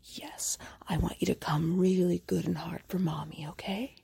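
A woman whispering softly in a slow, doting and coaxing intimate roleplay. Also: lowish voice, steady tone. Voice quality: soft breathy whisper. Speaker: roleplay performer.

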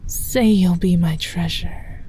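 A woman voicing a doting, possessive motherly female dragon in an intimate audio roleplay, speaking in a soft breathy whisper. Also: on the low side, fairly even intonation, slow, heavily acted. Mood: tender whisper.

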